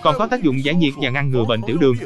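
A man's voice: medium pitch, very animated, racing, over music, lightly acted. A man playing a boastful, quarrelsome talking bitter melon in a cartoon dub. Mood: boastful, combative.

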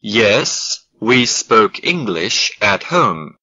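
Very slow, bright-voiced man drilling phrases. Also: on the low side, very animated, highs cut off. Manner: slow, carefully spaced model sentences. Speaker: English lesson voice. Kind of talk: slow scripted language drill.

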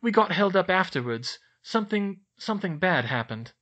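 A man voicing a clever, earnest schoolgirl witch who talks briskly. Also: high-pitched, big pitch swings, fairly bright tone, highs cut off, pitched above the performer's own voice.

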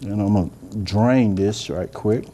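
A soft-spoken middle-aged chef talks through a recipe in a homey, rambling way. His voice sits low and mellow. The demo kitchen recording carries a faint hiss underneath. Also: measured pace. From Georgia, US. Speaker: chef and cooking show host. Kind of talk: unscripted cooking demonstration. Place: indoor demo kitchen set.

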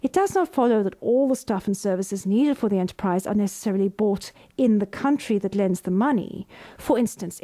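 A woman voice artist reading scripted narration in a measured, formal way. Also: mid-range voice, warm tone.

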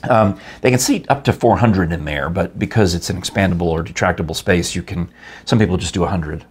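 A man's voice: low-pitched, brisk, slightly rough. A man in his fifties hosting a casual studio chat, joking around and reading sponsor details. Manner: jokey, rambling, playful. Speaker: host. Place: small studio set at a table.

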